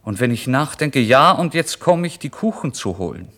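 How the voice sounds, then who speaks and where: A man's voice: mid-range voice, very animated, normal speed. An actor playing a starving, proud and agitated down-and-out man in a dramatic literary radio monologue. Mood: insistent, sly. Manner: dramatic, swinging from hushed brooding to indignant outbursts.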